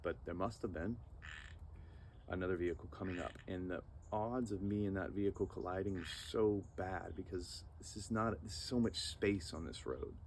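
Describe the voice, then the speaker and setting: A man in his thirties telling a personal story in an earnest, animated way, acting out moments with sound effects. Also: low-pitched, moderate pace, a little hiss or hum.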